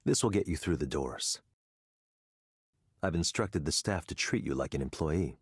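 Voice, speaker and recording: An actor voicing a persuasive, presumptuous tech company boss in a visual novel, speaking in a measured, assured way. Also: male voice, rumbling low, animated, breathy edge, no room sound, clean studio sound, full character voice. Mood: cordial, assured. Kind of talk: scripted visual novel voice acting.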